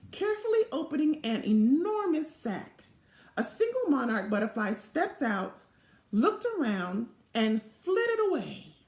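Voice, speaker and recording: A middle-aged woman reads a children's storybook with expressive care. Her voice sits high and swings widely in pitch. The room adds a noticeable echo. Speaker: volunteer storybook reader. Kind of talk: read-aloud children's storybook narration. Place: small indoor room or home office. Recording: roomy, echoing home webcam recording.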